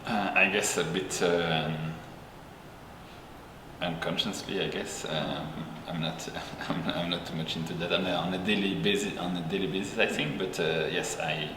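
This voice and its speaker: A man from Belgium, a company founder and interview guest, speaking haltingly with many repeated words and ums. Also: low voice, steady tone, background noise. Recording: echoey room with long reverb and music bed.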